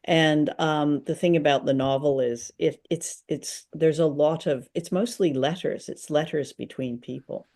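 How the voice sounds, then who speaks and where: A woman's voice: sits low, measured pace, few pauses, a little mellow. An older woman co-hosting a radio show over a video call, chatting casually with a playful, conversational manner. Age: older, 60s or 70s. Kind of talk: casual chat about books and drama.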